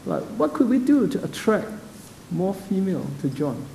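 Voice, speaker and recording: A man's voice: sits high, very animated, normal speed, vocal fry, mellow, big-room sound, slight background noise, low-quality recording. A man moderating a panel discussion, speaking conversationally and sharing a light anecdote as he asks questions. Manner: conversational, chuckles while telling anecdotes. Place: event hall.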